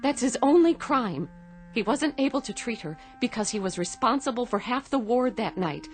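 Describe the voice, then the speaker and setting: A woman's voice: fairly high pitch, animated, normal speed, soft background music, close to an everyday voice. An actress playing an indignant doctor's wife in a radio drama, fiercely defending her husband with pleading, accusatory emphasis. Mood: indignant, insistent.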